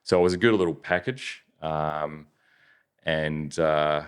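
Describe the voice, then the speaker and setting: A man from New Zealand with a softened Kiwi accent, chatting casually as a business owner in an interview, rambling with many restarts. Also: extremely low pitch, slow pace, clean tone. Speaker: business owner, podcast guest.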